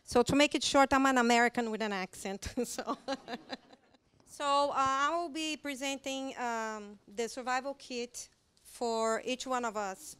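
A middle-aged woman with a Brazilian accent leads a church training seminar, chatty and friendly, with frequent "uh" and "um" fillers. Her voice is smooth and resonant, sitting a touch high. She speaks into a handheld microphone in a hotel meeting room. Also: fairly bright tone. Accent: Brazilian Portuguese. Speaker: children's ministry trainer. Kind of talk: seminar presentation. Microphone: handheld mic.

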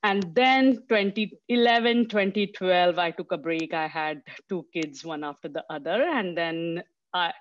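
A woman in her forties from Mumbai giving a mentorship talk over a video call, halting with many fillers and restarts. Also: on the low side. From Mumbai, India. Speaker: guest speaker. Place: small room indoors. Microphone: headset on a video call. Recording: dull lo-fi video call audio.

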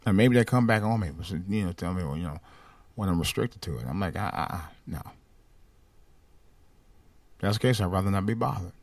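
A man speaks on a casual live talk stream with a light voice that he says lacks bass. He rambles and restarts his sentences, and his pitch rises and falls widely. The recording is dry, with no room sound. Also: expressive, moderate pace, pauses often, dry recording. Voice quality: light voice without much bass. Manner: rambling, repeats and restarts phrases. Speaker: podcast host. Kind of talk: casual personal monologue.

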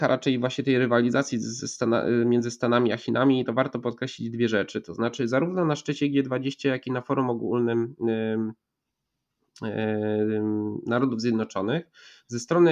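A man in his thirties giving a measured geopolitical analysis over a video call from a room at home, with many hesitations and restarts. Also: medium pitch. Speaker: geopolitics commentator. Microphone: video call, earphones with mic. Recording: slightly dull lo-fi call audio.

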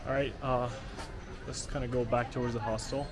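A man's voice: medium pitch, little pitch movement, moderate pace, rough recording. A young man talking to a handheld camera as he walks through city streets, rambling with lots of fillers. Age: young adult. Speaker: travel vlogger. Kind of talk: casual walking vlog to camera. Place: outdoors on busy city streets. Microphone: action camera held at arm's length. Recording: street noise behind the camera. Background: traffic and city ambience.

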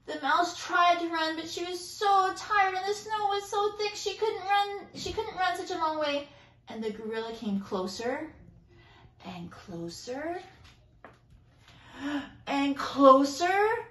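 A woman reads a picture book aloud with dramatic, emphatic phrasing. Her voice is high and bright, and the room adds a clear echo. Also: animated, slow. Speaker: storybook reader. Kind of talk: expressive children's story narration.

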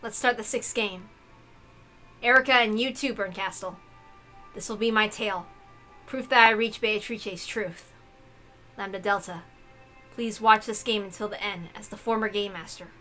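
A woman voicing a stern, gloomy young man who speaks softly but firmly as a dignified game master in a visual novel. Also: mid-range voice, fairly even intonation, moderate pace, long pauses, faint music behind, natural voice, poor audio. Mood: solemn. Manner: soft but forceful, solemn, curt.

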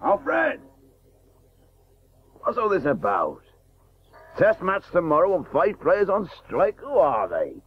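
Radio drama: celebrated older cricket champion, blustering, highish lively voice, tinny noisy broadcast. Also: male voice, takes it slowly, pauses often, full character voice. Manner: blustering, brusque. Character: indignant, imperious celebrated veteran gentleman cricketer. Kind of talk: radio play acting.

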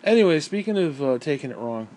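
A hoarse man losing his voice, blunt and swearing a lot as he rants about a game. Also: on the high side, very animated, quick pace, a little mellow. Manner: profane, blunt and heated. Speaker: gaming vlogger. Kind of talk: angry opinion rant.

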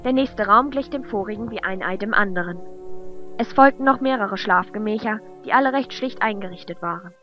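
A woman's voice: mid-range voice, brisk, dull audio. A woman reading scripted narration for a fantasy audio drama. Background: background music.

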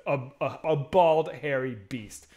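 A man's voice: on the high side, slow. A man in his thirties hosting a casual video-call chat, telling crude stories with lots of energy, on a headset mic at home. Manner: crude, animated storytelling. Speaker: podcast host. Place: home room with bookshelves.

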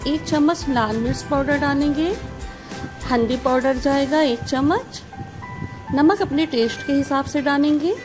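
A woman host giving a friendly step-by-step recipe voice-over, repeating emphatic praise for the dish. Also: high-pitched. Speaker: cooking channel host. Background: background music.

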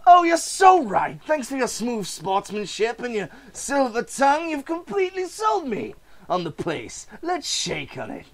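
A man's voice: very high-pitched, wildly swooping pitch, a little slow, pitched above the performer's own voice, heavily acted. A man voicing a gleeful, sinister clown villain in a comic book reading, flamboyant and mocking. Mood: mock-polite.

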